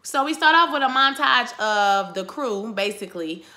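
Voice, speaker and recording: A woman casually recapping a TV episode in a chatty, slangy way, her voice going hoarse. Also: a little high, normal speed. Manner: chatty, slangy, animated retelling. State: losing her voice. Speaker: TV recap vlogger.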